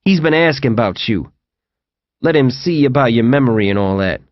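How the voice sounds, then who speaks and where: A man voicing a tough male quarantine inmate who stays calm and talks in a measured, lecturing way. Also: mid-range voice, no room sound, natural voice. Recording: dull lo-fi audio.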